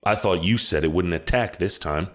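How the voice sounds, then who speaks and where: A forceful male werewolf with a very deep voice, as a narrator puts him on. He speaks in curt, demanding bursts. Audiobook reading. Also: normal speed. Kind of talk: audiobook character voice.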